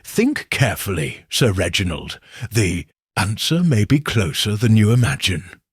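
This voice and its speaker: A man voicing a composed, sharp-witted detective who talks low and measured. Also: sing-song, husky, no room sound, clean studio sound, heavily acted.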